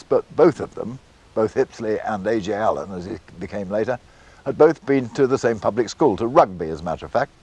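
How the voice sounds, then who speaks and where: A British man presenting a documentary, telling the story in a measured, scripted way with conversational asides. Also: medium pitch, darkish tone, no echo.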